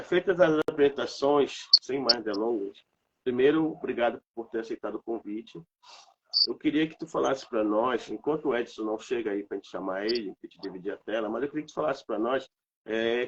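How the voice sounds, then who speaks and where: A man's voice: mid-range voice, animated, a little fast, a bit bright, lo-fi sound, slight background noise. Congested man. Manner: rambling, with many fillers and restarts. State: congested from a cold. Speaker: host of a live stream. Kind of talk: casual live-stream talk.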